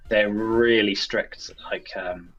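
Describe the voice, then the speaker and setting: A man's voice: lowish voice, steady tone, slow pace, fairly bright tone, muffled recording. A middle-aged man, a game developer, answering questions casually as an interview guest. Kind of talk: casual interview answers about own work.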